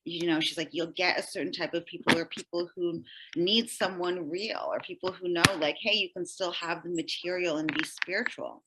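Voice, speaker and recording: A woman's voice: on the low side, fairly even intonation, normal speed, very noisy. A woman podcast guest and healer telling personal stories casually, rambling and dropping "like" often. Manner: rambling, peppered with "like". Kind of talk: casual conversational storytelling.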